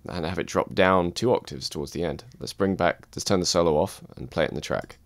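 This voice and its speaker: Male instructor, low dry voice, calm step-by-step tutorial read. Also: fairly quick, no room sound. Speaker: music production instructor. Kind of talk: screen-recorded software tutorial.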